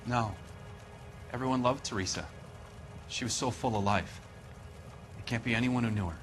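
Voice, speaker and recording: An American actor playing a defensive, resentful husband under police suspicion in a video game, speaking plainly. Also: male voice, mid-range voice, moderate pace, music in the background, close to an everyday voice. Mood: subdued. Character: defensive, resentful husband suspected of killing his wife. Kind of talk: video game dramatic acting.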